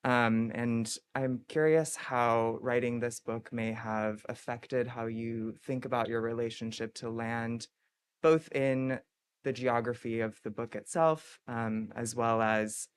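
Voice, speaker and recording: A man with a voice of middling pitch asks a question from the audience at a bookstore event. He stops often, filling the gaps with "um". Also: fairly even intonation. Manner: hesitant, with frequent "um" pauses. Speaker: audience member asking a question.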